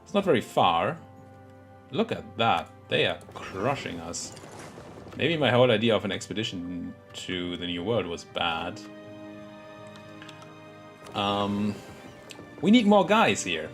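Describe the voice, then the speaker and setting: Hoarse low-voiced man muttering gameplay thoughts. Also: very animated, slow, long pauses, faint music behind. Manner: muttering thoughts aloud, self-talk with fillers and restarts. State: has a cold, voice a bit hoarse. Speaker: let's play gaming commentator. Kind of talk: casual live gameplay commentary.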